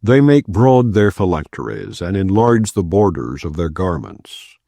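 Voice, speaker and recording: A man giving a solemn, measured, non-dramatized reading of scripture from the Bible. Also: sits low, expressive, creaky, mellow, no room sound. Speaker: Bible reader.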